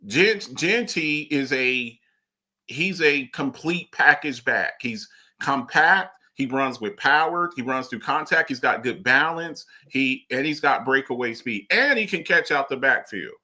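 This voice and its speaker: A man hosting a casual sports talk show, arguing about players in an animated way, with lots of restarts and pointed questions. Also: medium pitch, a little slow.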